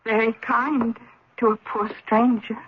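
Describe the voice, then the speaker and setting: An actress playing a mysterious, fragile noblewoman in mourning in a radio drama, speaking in a halting, sorrowful, formal way. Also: on the high side, little pitch movement, lightly acted. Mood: soft, tentative. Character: mysterious, desolate, fragile aristocratic woman in mourning. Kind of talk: old-time radio drama acting. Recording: muffled vintage radio broadcast, faint noise.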